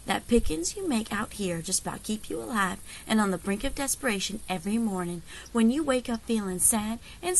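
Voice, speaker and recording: Woman acting a folksy, high-voiced frontier leader. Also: a little hiss or hum, low-quality recording. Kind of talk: dramatic audio fiction acting.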